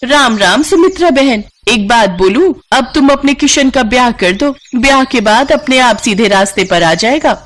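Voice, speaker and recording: Casting: female narrator as friendly village matchmaking neighbour, chatty and persuasive, raised pitch, for an animated tale. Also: normal speed, hardly any pauses.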